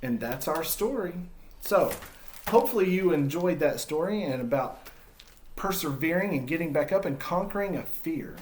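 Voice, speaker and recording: A man with a slightly high voice introduces stories for children. His intonation swings freely and animatedly, and he addresses young listeners in an encouraging way. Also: a little mellow, roomy sound, a little hiss or hum. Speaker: read-aloud storyteller and host. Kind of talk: children's story read-aloud.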